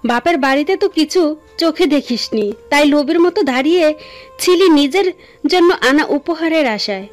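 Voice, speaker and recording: A woman playing a harsh mother-in-law in an audio story, scolding with sharp contempt over music. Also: high voice, animated, normal speed. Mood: angry contempt. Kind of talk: Bengali audio story acting.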